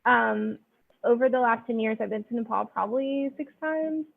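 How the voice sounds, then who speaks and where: A woman's voice: on the high side, moderate pace, gravelly. A woman family physician speaking frankly and chattily in a conversational interview, with lots of fillers, heard over a video call. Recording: tinny phone-like line.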